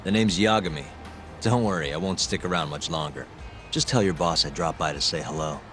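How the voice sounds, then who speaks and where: An actor with an American accent playing a cool, nonchalant detective with a dry, casual delivery in a video game. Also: male voice, deep voice, brisk, fairly bright tone, faint music behind.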